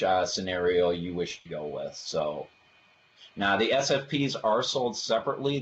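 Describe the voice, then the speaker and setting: Low-voiced man presenting a technical webinar. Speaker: product presenter. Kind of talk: webinar product presentation with slides. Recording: dull lo-fi audio, faint background noise.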